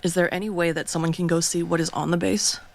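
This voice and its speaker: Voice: mid-range voice, little pitch movement, fast talker, vocal fry, close to an everyday voice. An actor playing a skeptical radio co-host who talks bluntly and sounds exasperated, with a faint mains hum behind.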